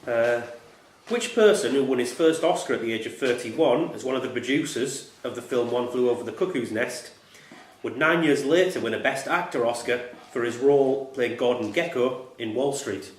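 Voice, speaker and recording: A male quizmaster reads quiz questions aloud in a club room. His voice is mid-pitched and carries a clear room echo. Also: moderate pace, slight background noise.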